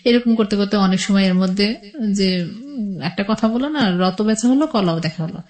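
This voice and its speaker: A woman's voice: medium pitch, moderate pace, darkish tone. Bangladeshi woman telling her life story. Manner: rambling, colloquial, quotes past conversations. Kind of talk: personal storytelling in a radio interview.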